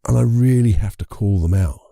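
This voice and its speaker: Man, croaky voice, podcast host, dark mellow tone, dry close sound, chatty and rambling. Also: lowish voice, fairly quick, no echo. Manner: chatty, rambling, with self-corrections and chuckles. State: croaky voice from a lingering cold. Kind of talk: casual solo podcast monologue.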